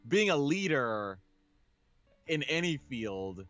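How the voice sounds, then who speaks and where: A man reading a visual novel aloud as a game streamer, with casual, expressive commentary along the way. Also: medium pitch, slow, dry recording. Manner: animated, expressive. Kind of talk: let's play narration and casual commentary. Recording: dull audio with music underneath.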